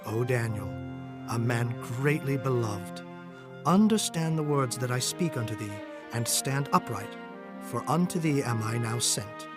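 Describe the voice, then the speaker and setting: A solemn heavenly messenger, played by a man, speaks in a medium-pitched voice with music underneath. He declaims prophecy of warring kings in a measured, grave way, as in an audio scripture drama. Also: expressive, lightly acted. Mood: solemn, reassuring. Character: solemn male angel messenger foretelling wars of kings. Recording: music behind the voice.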